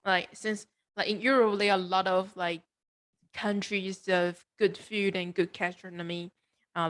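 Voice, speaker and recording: A woman with a fairly low voice acts as host and interviewer. She speaks conversationally, building up her questions in loose, roundabout phrases. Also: fairly bright tone. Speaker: host, interviewer, education agency regional manager. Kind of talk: conversational interviewing at an education fair talk.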